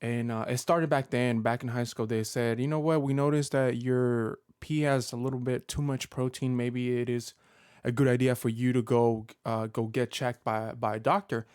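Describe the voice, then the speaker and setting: Soft-spoken man in his thirties, halting. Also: mid-range voice, fairly even intonation, clean tone, no echo, clean studio sound. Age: 30s. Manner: halting, many fillers and restarts. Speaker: Pokemon content creator. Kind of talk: personal vlog update.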